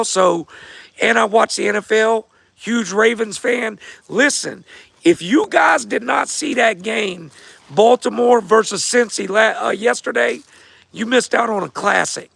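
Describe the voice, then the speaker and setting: Host, male, hoarse and gravelly, higher-pitched, laid-back chat to viewers. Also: unhurried, sharp tone, no room sound. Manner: laid-back, repeats himself, talks straight to viewers. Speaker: host of a fight show answering viewer questions. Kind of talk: casual livestream chat.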